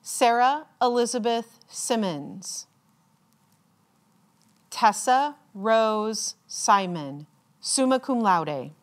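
A woman reads out names as a commencement announcer, in a formal ceremonial style with measured, deliberate enunciation and pauses. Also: on the high side, animated.

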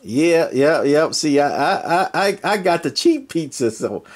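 A man's voice: a little high, big pitch swings, measured pace. A man chatting jokily over CB radio, stammering and repeating words as he goes. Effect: CB radio transmission. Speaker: CB radio operator. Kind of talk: casual joking radio chat.

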